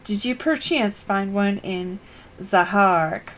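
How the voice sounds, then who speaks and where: A woman reading aloud the lines of an elderly male scholar mage in formal, archaic phrasing, in a plain voice close to her own, louder than her usual talk. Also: medium pitch, slow pace, a little hiss or hum, lightly acted. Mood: earnest. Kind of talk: reading game dialogue aloud.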